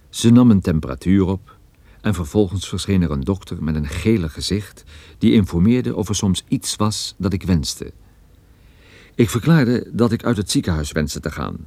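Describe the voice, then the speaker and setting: Deep, mellow, laconic detective. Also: male voice, no echo. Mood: dry humour. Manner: dry, laconic, wry. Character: hard-boiled, wry male private detective recounting his case. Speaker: narrator and protagonist. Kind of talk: scripted radio play narration.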